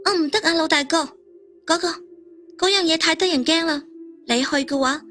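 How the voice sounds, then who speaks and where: A woman's voice: extremely high pitch, a little fast, bright, forceful tone, faint music behind, pitched above the performer's own voice. A woman voicing a frightened, tender young woman who pleads anxiously in a narrated story. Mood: fearful, pleading.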